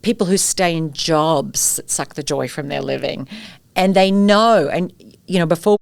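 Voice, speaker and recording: A woman's voice: deep voice, moderate pace, gravelly, no room sound, clean studio sound. A middle-aged Australian woman, a guest expert, telling stories and asking rhetorical questions in a conversational interview. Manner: animated storyteller, rhetorical questions.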